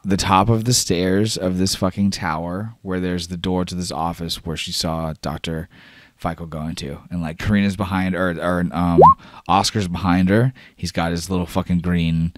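A man's voice: low-pitched, no room sound, studio quality. A man running a tabletop game as game master, narrating in a casual, rambling, profane way over a voice call.

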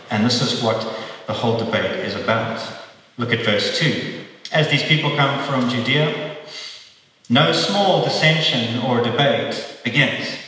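A man preaching an expository sermon to a congregation, measured and steady, pausing often and restarting his phrases. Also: mid-range voice, a little hiss or hum. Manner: measured, with frequent pauses and restarts. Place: church hall. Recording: echoey church hall, mic far off.

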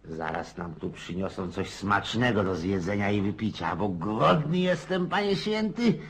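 An actor playing a boorish, domineering Russian country landowner in a radio drama, blustering, bossy and folksy. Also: male voice, on the low side, expressive, unhurried, fairly bright tone, slight background noise. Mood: self-satisfied. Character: boorish, boisterous provincial Russian landowner, domineering husband and father. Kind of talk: Polish radio drama acting.

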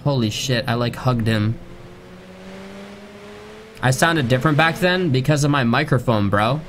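A man's voice: mid-range voice, a little slow, slight background noise. A young man streaming a video game, chatting casually and joking with plenty of swearing, recorded on a condenser studio mic. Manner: loud, profane, joking. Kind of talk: casual gaming stream chat and roleplay.

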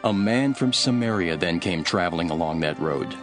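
An actor playing a calm, authoritative male teacher telling parables in an earnest, deliberate scripture drama. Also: deep voice, over music. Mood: measured storytelling. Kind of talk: dramatised scripture reading, measured storytelling.

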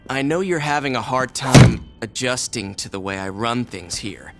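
An actor playing an arrogant young gang boss and spoiled heir in a video game, condescending and curt. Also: male voice, mid-range voice, normal speed, over loud music, close to an everyday voice. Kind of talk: video game cutscene acting.

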